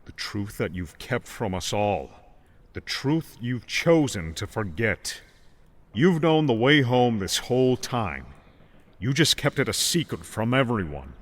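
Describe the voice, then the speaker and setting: A man's voice: mid-range voice, sing-song, unhurried, pitched above the performer's own voice. Man voicing a haunting, taunting male betrayer. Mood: accusing. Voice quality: haunting, piercing. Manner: taunting, accusatory. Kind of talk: audiobook character dialogue.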